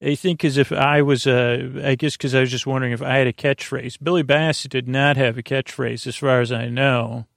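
A man hosting a bedtime podcast in a meandering, hesitant monologue that drifts off topic, with a gravelly, creaky voice. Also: mid-range voice, fairly even intonation, moderate pace, hardly any pauses, no room sound, studio quality. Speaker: podcast host.

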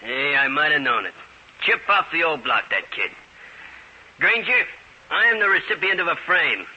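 Raspy, cocky New cabbie. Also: male voice, fairly high pitch, sing-song, moderate pace, sharp tone, exaggerated voice. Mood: wry. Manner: slangy, brash. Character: cocky wisecracking New York cab driver, tough little man. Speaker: radio actor. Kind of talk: old-time radio acting. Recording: dull old-time radio recording.

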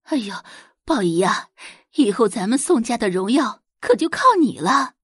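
A woman's voice: fairly high pitch, expressive, moderate pace, husky, dry recording, clean studio sound. A woman voicing an ambitious, doting mother who exclaims effusively in an audiobook drama. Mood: excited, gushing. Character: gushing, ambitious mother doting on her gifted daughter.

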